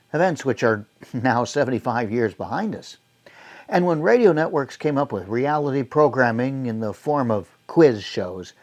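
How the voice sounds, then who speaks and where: A man's voice: medium pitch, darkish tone. A man in his sixties hosting with a scripted but chatty, conversational delivery to camera. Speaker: host.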